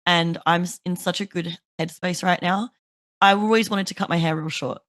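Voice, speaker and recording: Low-voiced, chatty woman host. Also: normal speed, no room sound. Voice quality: deeper voice. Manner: chatty, swears freely, animated storytelling. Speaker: podcast host. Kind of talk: casual chat.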